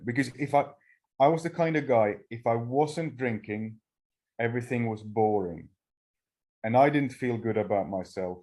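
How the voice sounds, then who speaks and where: A middle-aged man from Finland, a native Swedish speaker, telling his personal recovery story at a meeting with halting pauses and restarts. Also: mid-range voice, mellow. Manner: halting, frequent restarts and fillers. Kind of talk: personal testimony talk.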